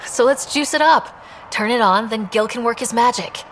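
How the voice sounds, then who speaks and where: A woman's voice: fairly high pitch, normal speed, slight background noise, lightly acted, low-quality recording. A woman playing a determined, direct young squad leader and explorer in a science fiction video game. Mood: focused.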